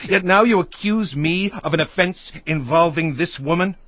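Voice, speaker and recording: Man playing a high-pitched, proud army commander. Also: moderate pace, no room sound, close to an everyday voice. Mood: indignant anger. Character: proud, forceful middle-aged army commander of ancient Israel. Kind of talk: dramatized audio Bible acting. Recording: dull lo-fi audio with music behind. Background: faint music.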